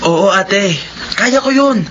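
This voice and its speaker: An actor playing an earnest young working-student brother in a Filipino radio drama, respectful and eager, with a Filipino accent. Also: male voice, high-pitched, lively intonation, takes it slowly, a bit bright, exaggerated voice. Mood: upbeat. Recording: dull, noisy radio drama audio.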